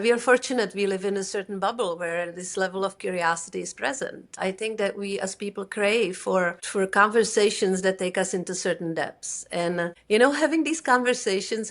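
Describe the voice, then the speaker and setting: A middle-aged woman with a Czech accent, a native Czech speaker and executive coach, talking thoughtfully as an interview guest and sometimes pausing to find English words. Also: medium pitch. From Czech Republic. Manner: thoughtful, searching for words, careful phrasing. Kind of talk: reflective interview conversation.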